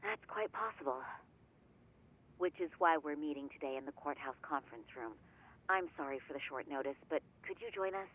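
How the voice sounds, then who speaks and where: A man's voice: on the high side, big pitch swings, a little fast, fairly bright tone, full character voice, low-quality recording. An actor voicing a polite, formal male defense lawyer in a video game, speaking courteously and apologetically.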